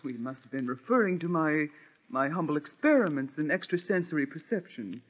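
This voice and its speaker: A modest, fluttery young widow in an old radio drama. Her genteel, hesitant voice is lively in pitch and slightly rough, heard through a dull, dated recording. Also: brisk. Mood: coy, modest. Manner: genteel, hesitant, self-deprecating.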